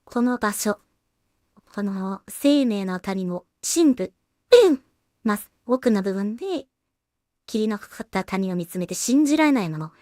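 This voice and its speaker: A woman's voice: mid-range voice, lively intonation, slow, mellow, no echo, clean studio sound. A woman creator casually thinking aloud as she works, muttering hesitantly to herself with polite asides to viewers. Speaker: solo animation creator and streamer.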